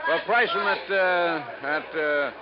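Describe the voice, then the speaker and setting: An actor playing a crooked, self-pitying middle-aged American furniture boss with blustering, slangy talk, in a 1950s radio drama. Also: male voice, fairly high pitch, takes it slowly, over a noisy crowd. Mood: brisk, scheming. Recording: dull, noisy 1950s radio recording.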